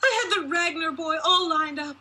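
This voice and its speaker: A woman's voice: extremely high pitch, moderate pace, sharp tone, voice pushed up, heavily acted. A woman voicing an enraged, status-obsessed giantess mother from a storybook, scolding and exclaiming.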